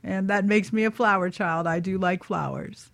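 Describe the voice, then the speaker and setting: A woman's voice: mid-range voice, normal speed, gravelly, no echo. A woman chatting casually and reflectively as a panelist on a radio talk show, in an informal manner. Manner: informal, laughs easily while talking.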